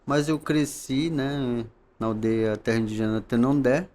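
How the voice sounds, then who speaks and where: A man from the São Paulo coast speaks as a podcast guest in a mid-range voice. He talks calmly and haltingly, often restarting a thought. He ends many phrases with a soft tag question. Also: medium pitch. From São Paulo coast, Brazil. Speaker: guest, knowledge keeper. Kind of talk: podcast interview.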